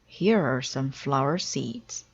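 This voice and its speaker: A kind, agreeable frog from a children's storybook, read by a woman. He talks very slowly, politely and helpfully, in a slightly muffled recording. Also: rumbling low, expressive, slightly rough, exaggerated voice. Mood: gentle. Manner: encouraging, polite.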